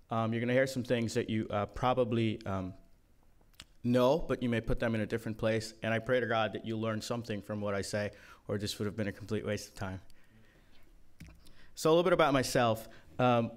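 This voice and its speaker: A man's voice: medium pitch, a little fast. A man from Guyana giving a casual, joking talk to a conference room, with many ums and uhs. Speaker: conference speaker.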